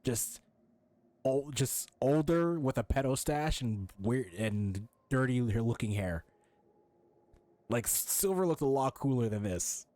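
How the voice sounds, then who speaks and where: A man's voice: mid-range voice, lively intonation, slow, a little mellow, no echo. A young man giving casual, slangy let's-play commentary with a sarcastic, irreverent manner. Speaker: gaming streamer reacting to a game.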